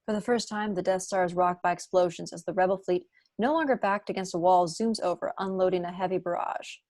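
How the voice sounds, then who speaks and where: A woman's voice: lowish voice, fairly quick, darkish tone, dry recording, studio quality. A woman narrating the stage directions of a screenplay at a table read.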